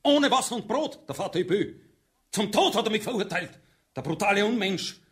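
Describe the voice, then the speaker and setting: Radio play captain on the run: Austrian accent, grandiose braggart, very high, animated delivery. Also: male voice, fairly quick. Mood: aggrieved, pleading. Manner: theatrical, grandiose. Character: boastful fugitive captain begging the Tsar for mercy. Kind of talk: radio drama acting.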